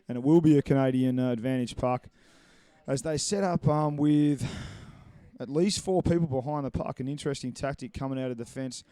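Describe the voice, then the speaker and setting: A man's voice: medium pitch, mellow, smooth, no echo. Chatty man doing sports commentary. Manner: chatty, rambling, with frequent fillers. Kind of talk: live play-by-play commentary. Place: commentary box at an indoor pool.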